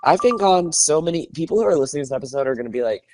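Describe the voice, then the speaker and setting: A man's voice: fairly high pitch, expressive, racing, darkish tone, soft background music. A man co-hosting a podcast, chatting casually and animatedly, laughing often. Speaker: podcast co-host. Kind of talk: casual chat.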